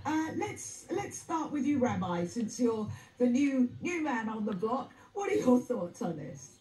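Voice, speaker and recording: A woman's voice: a little high, very animated, unhurried, warm tone, roomy sound, poor audio. A woman presenting a TV debate in a conversational way, heard as television sound replayed in a room. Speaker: panel discussion presenter.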